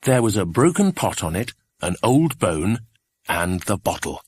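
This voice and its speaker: A man narrating a children's adventure audiobook in a scripted storytelling style, with a husky voice. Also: deep voice, sing-song, measured pace, dry recording.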